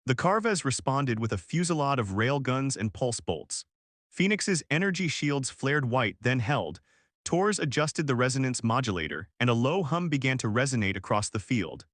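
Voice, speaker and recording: Guy narrating a sci fi war story, formal measured read, medium pitch, super dry sound. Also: sing-song, a bit bright, no echo. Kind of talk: scripted narration of a science fiction war story.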